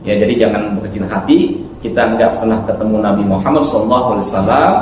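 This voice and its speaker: A man's voice: lowish voice, very fast. A man giving an instructive Islamic lecture to a congregation as a preacher, often checking in with short tag words. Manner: didactic, with frequent "ya" tags and check questions. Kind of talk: religious lecture explaining hadith to a congregation. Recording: very echoey hall, distant mic, faint noise.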